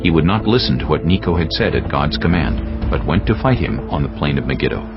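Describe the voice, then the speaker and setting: A man narrating scripture for a dramatized audiobook in a solemn, measured storytelling style. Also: rumbling low, animated. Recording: muffled, music bed behind.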